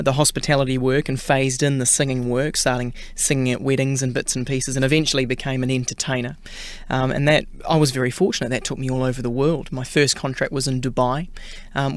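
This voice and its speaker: A man's voice: medium pitch, dry recording. A man from New Zealand with a New Zealand accent, chatting as a singer guest in a radio interview, with many fillers and restarts.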